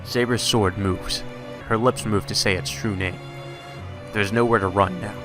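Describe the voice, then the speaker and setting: A man's voice: lowish voice, fairly even intonation, unhurried, music in the background. A man doing a let's play, reading a visual novel aloud and breaking off for irreverent, sweary asides. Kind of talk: narrating a visual novel with crude off-the-cuff commentary.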